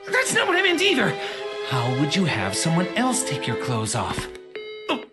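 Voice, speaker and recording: An actor playing an earnest, awkward young rebel officer in an anime audio drama, with a hesitant, sincere, easily flustered delivery. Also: male voice, on the high side, wildly swooping pitch, moderate pace, over music. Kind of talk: anime dub audio drama acting, confiding monologue.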